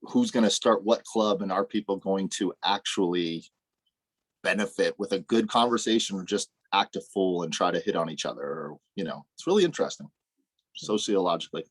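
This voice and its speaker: A man in a casual group discussion, telling animated, jokey stories and laughing often. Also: low-pitched, fairly quick, slightly rough, no room sound.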